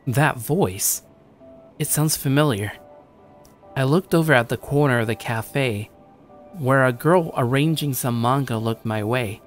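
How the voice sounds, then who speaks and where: A man's voice: mid-range voice, measured pace, natural voice. A man playing a jaded, self-conscious young shut-in, telling his story in the first person with weary, sardonic asides. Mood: anxious, startled. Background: background music.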